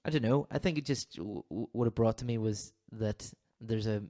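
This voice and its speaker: A man's voice: lowish voice, normal speed, warm tone. A Northern Irish man co-hosting a casual podcast, rambling and restarting his sentences, with a slight cough. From Northern Ireland. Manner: rambling, self-interrupting, laughs easily. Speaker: podcast co-host, counsellor. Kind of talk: casual chat.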